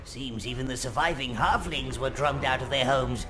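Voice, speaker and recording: A man's voice: mid-range voice, moderate pace, lightly acted. A man voicing an elderly, goblin-like minion advisor in a video game, fawning, theatrical and gleefully wicked. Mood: scheming, advisory. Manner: theatrical, deferential, relishing evil. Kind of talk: video game character acting. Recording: game music behind voice.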